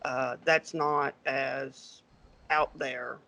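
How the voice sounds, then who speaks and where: A man being interviewed as an accountant, talking in a relaxed, hesitant way with many fillers. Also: mid-range voice, very slow, vocal fry. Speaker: accountant guest. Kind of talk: casual podcast interview.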